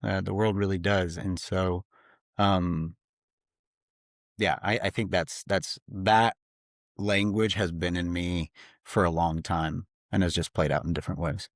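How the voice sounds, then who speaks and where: A man's voice: deep voice, fairly even intonation, unhurried, no echo, clean studio sound. A man, a podcast guest talking earnestly in his home office, with many fillers. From grew up in Slovakia. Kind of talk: casual interview talk.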